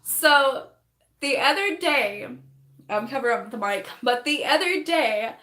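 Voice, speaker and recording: A young woman, chatting on a phone livestream while doing her makeup, rambling fast, laughing often and losing her voice. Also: sits high, expressive, sharp tone, echoey room. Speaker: livestreaming vlogger.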